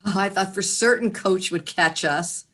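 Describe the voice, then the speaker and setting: A woman's voice: mid-range voice, moderate pace, fairly bright tone, lightly acted. An actress reading an encouraging woman in her fifties at a screenplay table read. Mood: urging, eager.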